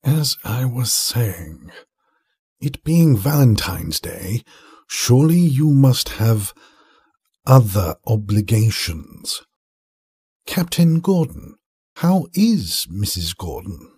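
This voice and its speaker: A courteous old butler, played by a man, speaks slowly with a strongly breathy, husky voice. He is formal and drily polite, in a comic-book drama with close, dry sound. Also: medium pitch, very animated, a little mellow, dry recording, heavily acted. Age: older.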